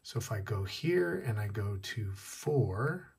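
Male tech tutorial host, a bit husky, casual step-by-step delivery. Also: fairly low pitch, lively intonation, takes it slowly, a little mellow. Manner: step-by-step explaining, repeating key points. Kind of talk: casual hands-on demonstration. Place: indoors at a wooden desk.

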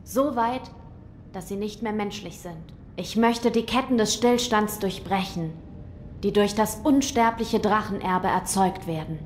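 A woman's voice: a little slow, a little mellow, close to an everyday voice. A woman in an audio drama playing an earnest, resolute young noble boy with a grave, formal way of speaking. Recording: long hall reverb, faint rumble.

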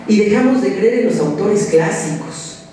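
Mexican male lecturer, 30s, direct and exhorting; warm timbre, very roomy hall sound. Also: high-pitched, expressive, normal speed, a little hiss or hum. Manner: direct, exhorting, addresses students as tú. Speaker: psychology lecturer. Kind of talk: conference talk to students. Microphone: podium microphone. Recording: roomy echoing hall from a distance.

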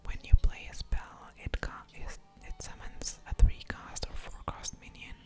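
A woman whispering ASMR commentary over a card game, thinking aloud with pauses and soft mouth sounds.

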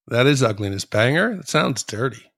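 A man's voice: medium pitch, very animated, moderate pace, fairly bright tone, dry recording, studio quality. A man hosting a TV recap podcast, talking in an explanatory way as he discusses a show. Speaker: podcast host.

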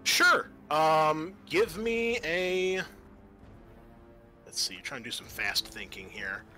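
A man's voice: fairly high pitch, very animated, slow, bright, forceful tone, soft background music. A man narrating a tabletop horror game as game master, improvising in an informal, descriptive way with some salty language.

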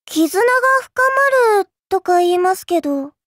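Very high-pitched sly little girl. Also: moderate pace, no room sound, clean studio sound. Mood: playful, confiding. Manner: polite, coy, teasing, cutesy. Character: small cute girl spirit servant, sly, smug, playful. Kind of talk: anime game character voice lines.

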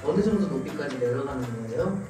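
A young man chatting and teasing his bandmates in a lively way, filmed by a far camera. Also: mid-range voice, quick pace. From Seoul. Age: young adult. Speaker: group member. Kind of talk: casual chat on a livestream. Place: small room at a long table with other members. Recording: echoey room from far off, with music.

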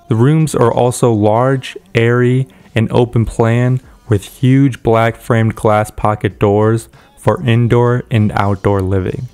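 A man giving a steady, scripted voice-over narration for a celebrity house tour. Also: mid-range voice, clear, resonant tone.

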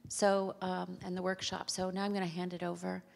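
A woman's voice: lowish voice, monotone, brisk, creaky. A middle-aged woman speaking on a panel to a theater audience through a handheld mic, reflective and thinking aloud as she goes. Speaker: researcher on a panel. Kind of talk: panel talk. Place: theater stage before a seated audience. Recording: long reverb of a theater hall.

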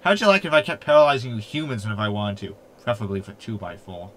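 A man's voice: fairly low pitch, very animated, quick pace, fairly bright tone. A man playing a cheeky, insulting, rebellious hologram in a sci-fi comedy audio drama, exclamatory and mocking. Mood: indignant.

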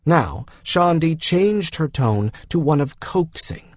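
A man's voice: fairly high pitch, expressive, slow, dry recording. A man reading a fantasy novel aloud as a scripted audiobook narration.

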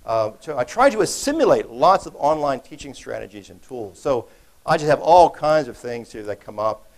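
A man's voice: mid-range voice, big pitch swings, normal speed. A middle-aged man delivers a conference keynote in a loose, conversational way, joking with the audience and often restarting his sentences. He is recorded in a large conference hall, standing away from the podium microphone. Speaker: keynote speaker.

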